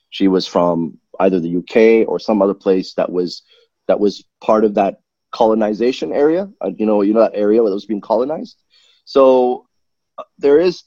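A man's voice: low-pitched, dry recording, lo-fi sound. A man from Malaysia, a guest in a casual interview, talking at length with many restarts and self-corrections. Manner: rambling, self-correcting, animated.

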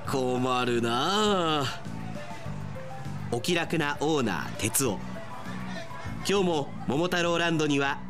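A man's voice: fairly high pitch, very animated, a little slow, music in the background. A man narrating a radio drama from a script as an announcer.

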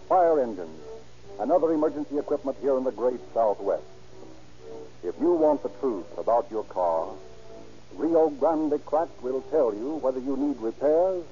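A man's voice: medium pitch, warm tone. A man announcing and reading ads for a 1930s radio show in a persuasive style, on a lo-fi recording. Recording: dull lo-fi old radio recording.

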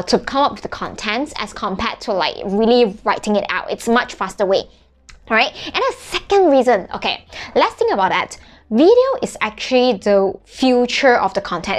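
A woman in her thirties, a native Mandarin speaker from Malaysia, chatting casually in a marketing vlog with many restarts and tag questions. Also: on the high side. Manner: chatty, frequent "right?" and restarts. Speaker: vlogger.

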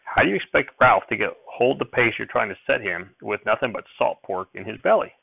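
Grumbling country uncle voiced by a male reader, blunt, low gravelly storybook voice, tinny band-limited audio. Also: expressive, fairly quick, dry recording, full character voice. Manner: rustic country speech, blunt. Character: grumbling, blunt farm uncle standing up for boy.

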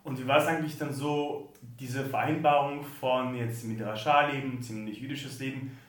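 A man hosting a podcast, reading the intro and then asking his guest relaxed questions. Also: mid-range voice, moderate pace. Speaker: podcast host. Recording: echoey room, distant from mic, faint music.

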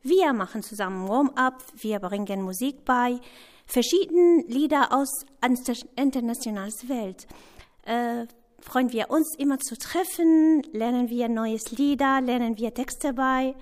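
A woman introduces the group she leads. She speaks German in simple, phrases. Her voice is high and bright in pitch. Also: a little slow. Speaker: music group leader.

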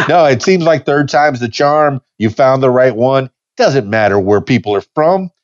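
A man's voice: mid-range voice, animated, a little slow, dry recording, muffled recording. A man in his fifties hosting a casual podcast chat, easygoing and rambling, with frequent restarts. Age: 50s. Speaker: podcast host.

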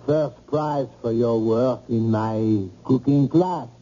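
An actor playing a polite, hesitant French cooking teacher with a French accent and formal manner in an old-time radio drama. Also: male voice, medium pitch, takes it slowly, dark tone. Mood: polite, embarrassed. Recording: muffled old radio recording.

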